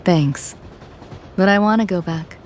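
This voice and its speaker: A woman's voice: fairly low pitch, a little slow, darkish tone, a little hiss or hum, natural voice. An actress voicing a laconic, resigned young woman in a video game, talking in clipped, casual phrases. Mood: wistful, resolute. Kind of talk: scripted video game dialogue.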